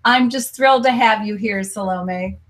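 A woman's voice: medium pitch, normal speed. An American woman hosting a live stream interview show, upbeat and promotional. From United States. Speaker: host.

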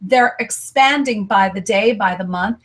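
A woman's voice: mid-range voice, measured pace, fairly bright tone. An American woman hosting a live stream interview show, upbeat and promotional. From United States. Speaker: host.

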